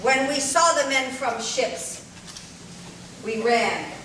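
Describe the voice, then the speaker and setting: Woman playing a solemn, very slow-spoken villager. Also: high-pitched, a bit bright. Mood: solemn, measured. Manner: simple, measured short statements. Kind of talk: puppet show acting. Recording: echoey hall, distant mic, faint noise.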